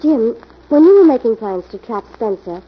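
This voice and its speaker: A woman's voice: a little high, very animated, moderate pace, faint music behind. An American actress playing a detective's inquisitive, earnest female companion in an old-time radio mystery. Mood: curious. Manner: earnest, questioning. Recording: dull vintage radio recording.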